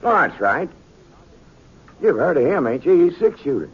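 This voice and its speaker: Talkative old Western townsman, higher-pitched, American, folksy radio drama delivery, muffled vintage recording. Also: very animated, normal speed, a little hiss or hum. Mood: friendly, hesitant. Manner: rambling, chatty, folksy. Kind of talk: 1950s radio Western acting.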